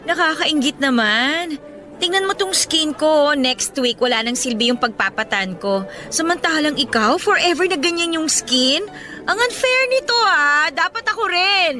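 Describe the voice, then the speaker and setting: A woman's voice: sits high, expressive, unhurried, pushed and bright, heavily acted. A Filipino woman playing a popular, confident and blunt older schoolgirl in a radio drama, breezy and gushing in casual Taglish. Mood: gushing, envious. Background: background music.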